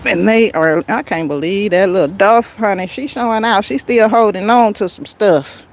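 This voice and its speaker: A woman's voice: medium pitch, normal speed, a little hiss or hum. An American woman chatting casually on a garden livestream, folksy, often muttering to herself and her plants, recorded on a phone outdoors. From United States. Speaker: garden vlogger, livestream host. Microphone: handheld phone.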